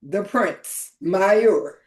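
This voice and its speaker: Mature woman podcast host, slow-paced, informal and unscripted, mid-range voice. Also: medium pitch, darkish tone. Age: middle-aged or older. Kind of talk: conversational podcast introduction and interview.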